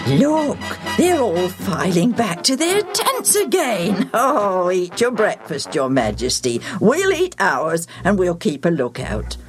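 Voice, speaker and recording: A woman's voice: on the low side, wildly swooping pitch, takes it slowly, few pauses, over music, exaggerated voice. A woman voicing dismissive, mocking warriors in a children's Bible story. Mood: dismissive calm. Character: scornful male warriors of Jericho, feigning calm.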